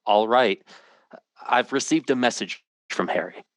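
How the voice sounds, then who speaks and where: A man's voice: mid-range voice, a little slow, no echo, close to an everyday voice. A man playing an earnest, troubled, intense hero in an old-time radio drama. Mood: guarded, earnest. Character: earnest, troubled man telling a fantastic story.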